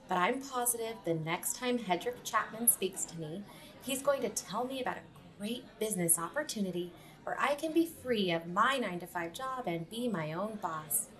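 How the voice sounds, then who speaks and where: An actress playing a skeptical, sardonic woman, a sharp-tongued friend in an audio drama. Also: mid-range voice, natural voice.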